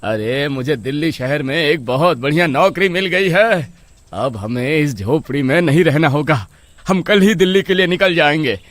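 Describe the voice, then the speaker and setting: A man's voice: fairly high pitch, animated, normal speed, fairly bright tone, dry recording. A man playing an eager, trusting young husband from a village in a dramatised horror story, earnest and exclaiming. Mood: excited, joyful.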